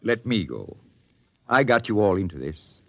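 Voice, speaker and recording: An actor playing a resolute, self-sacrificing expedition doctor with an intent manner in a 1950s radio drama. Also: male voice, fairly low pitch, very animated, normal speed, creaky, no echo, highs cut off, heavily acted. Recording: dry old 1950s radio recording.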